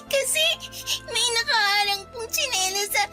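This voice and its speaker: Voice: extremely high pitch, normal speed, over music. A performer voicing a frightened, apologetic young girl in a Filipino radio drama, polite and pleading. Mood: apologetic, meek.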